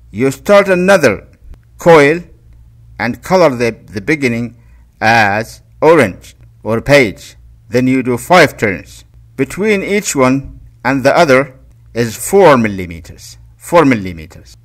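Slow-paced man giving step-by-step instructions. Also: medium pitch, a bit bright. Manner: step-by-step, repeats instructions, checks with "okay?". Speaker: DIY electronics instructor. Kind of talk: instructional tutorial narration.

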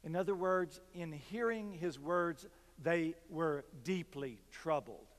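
An older man, a pastor, preaching a sermon from a pulpit with slow, measured delivery and dramatic pauses. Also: on the high side. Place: large church sanctuary, at a pulpit. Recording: long reverb from church hall.

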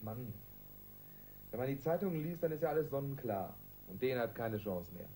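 A male actor plays a young detective in a German radio crime drama. His voice is mellow and lively in pitch, earnest and doubting yet persistent. Faint noise sits behind it. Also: moderate pace, close to an everyday voice. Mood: doubtful, earnest. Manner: hesitant but persistent, earnest.

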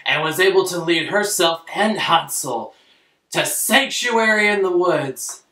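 A young man delivers a mock bedtime story with crass, pompous theatricality. His voice is bright and unhurried, picked up off-mic in an echoey room. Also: sits high, animated, distant mic. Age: young adult. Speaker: storyteller playing a crass father. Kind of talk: comic bedtime-story narration. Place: small bedroom, indoors.

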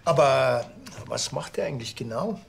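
Sardonic Bavarian small-town police officer, gravelly, grumbling, screen crime comedy. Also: male voice, medium pitch, expressive, moderate pace, slight background noise. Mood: dry. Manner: dry, grumbling sarcasm.

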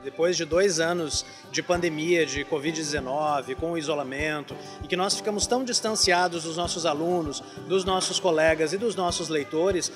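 A man's voice: on the high side, normal speed, hardly any pauses, music in the background. A man giving an articulate on-camera interview as a director. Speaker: publishing house director, interviewee. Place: book fair stall under a tent. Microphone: handheld reporter microphone.

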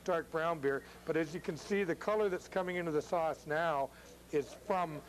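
Chatty middle-aged male cooking host, higher-pitched. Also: normal speed, rough recording. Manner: jokey, chatty. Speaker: cooking show host, chef. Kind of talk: casual cooking demonstration chat. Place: restaurant bar room, indoors. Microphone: lapel.

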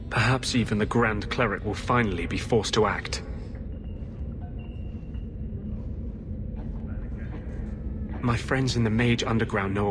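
A man's voice: fairly low pitch, background noise, natural voice. An actor playing an earnest, bitter rebel mage in his thirties in a fantasy game, speaking intensely. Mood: earnest, urgent.

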